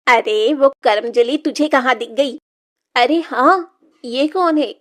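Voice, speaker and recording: A middle-aged village stepmother played by a woman from a moral-story cartoon. Her voice sits high, and she scolds harshly, taunting and threatening as a cruel tyrant of the house. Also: slow.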